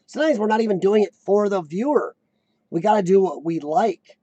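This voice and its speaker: A man in his forties hosting a casual live chat, with a high-pitched squeaky voice worn by coughing, who often clears his throat and restarts his sentences. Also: lively intonation, fairly quick, mellow, no room sound, muffled recording. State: voice worn from lung illness and coughing. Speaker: host of a live chat show. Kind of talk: casual live-stream chat reading viewer comments.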